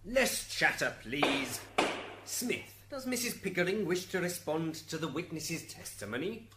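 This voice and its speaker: A man's voice: on the high side, very animated, a little slow, roomy sound, very noisy. A man playing a stern, curt saint who judges the afterlife in a radio drama, formal and authoritative.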